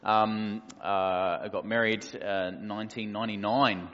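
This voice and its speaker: Kiwi pastor guy in his 40s, lowish voice, laid-back and jokey, um-ing a lot, echoey church hall, kinda dull lo-fi sound. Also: fairly even intonation, normal speed. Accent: New Zealand. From New Zealand. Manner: easygoing, joking, many fillers. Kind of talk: casual church welcome talk.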